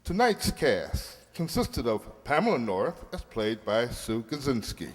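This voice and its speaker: A male announcer reads in old-time radio style. His pitch is mid and very lively, with long hall reverb and clear noise behind him. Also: measured pace. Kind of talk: scripted old-time radio closing credits.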